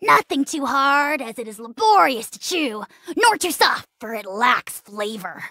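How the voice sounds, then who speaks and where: A woman's voice: high voice, big pitch swings, a little slow, pushed and bright, no echo, studio quality, heavily acted. A woman voicing a small, self-important dragon lord in a video game, pompous, petulant and theatrically taunting. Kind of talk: video game character voice acting.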